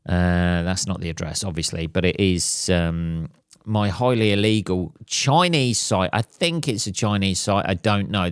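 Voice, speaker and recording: A man hosting his own podcast, rambling casually and crudely with lots of swearing. His voice is a little hoarse. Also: sits low, no room sound, studio quality. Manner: crude, swears a lot, jokey. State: slightly hoarse. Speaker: podcast host. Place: at home.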